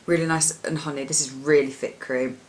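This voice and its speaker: A young woman with a husky voice, chatting casually about her favourite products in a rambling, filler-heavy way. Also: low-pitched, fairly even intonation, brisk, a little mellow. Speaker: beauty vlogger. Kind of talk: casual product chat to camera.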